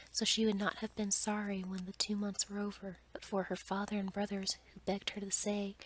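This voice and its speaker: A woman narrating a bedtime story as ASMR in a breathy whisper. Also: medium pitch, fairly bright tone, slight background noise, poor audio.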